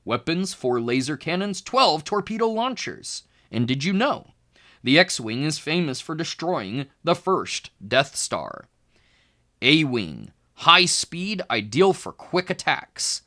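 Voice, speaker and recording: A man reading a children's book aloud as a tutor, in expressive educational narration with deliberate phrasing and emphasis on key words. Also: fairly high pitch.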